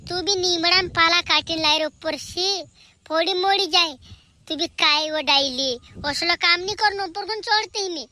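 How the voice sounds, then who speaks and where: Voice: extremely high pitch, moderate pace, bright, forceful tone, heavily acted. A performer voicing a chattering, scolding village family member in an amateur cartoon comedy.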